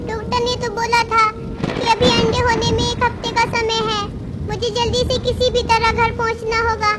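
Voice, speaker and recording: A woman's voice: very high voice, flat delivery, normal speed. A woman voicing a small, timid female bird who pleads and apologizes in a cartoon story. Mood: pained.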